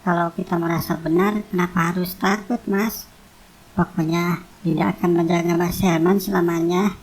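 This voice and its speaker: A woman's voice: lowish voice, fairly even intonation, moderate pace, lightly acted. A woman playing a loyal, bold young wife in a cartoon drama, with some noise behind her. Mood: tender, reassuring.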